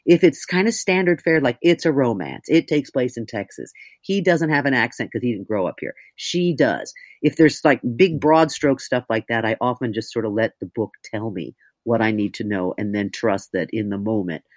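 A woman with a deep voice, an audiobook narrator talking candidly in an interview, thoughtful and self-mocking. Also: animated, moderate pace, hardly any pauses, darkish tone, dry recording.

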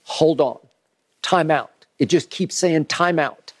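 A man hosting a sports debate, musing aloud and reciting records in a measured way. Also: a little high. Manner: measured, musing, recounts numbers. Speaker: host.

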